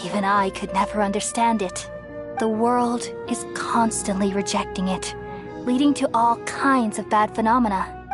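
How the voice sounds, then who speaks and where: A woman's voice: a little high, lightly acted. A woman voicing a serene, ancient wise goddess. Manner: calm, measured and soothing. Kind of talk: video game cutscene dialogue. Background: game score music.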